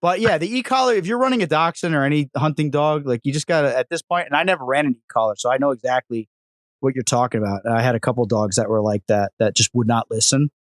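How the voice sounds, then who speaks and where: A man co-hosting a podcast, telling animated stories and joking in casual chat. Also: medium pitch, fast talker, no room sound, clean studio sound. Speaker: podcast co-host.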